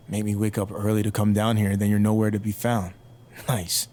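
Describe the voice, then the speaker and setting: An actor playing a cocky young pro wrestler in a video game, talking in an offhand, mildly sarcastic way. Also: male voice, lowish voice, flat delivery, normal speed, warm tone, close to an everyday voice. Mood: puzzled, annoyed. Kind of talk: video game cutscene acting.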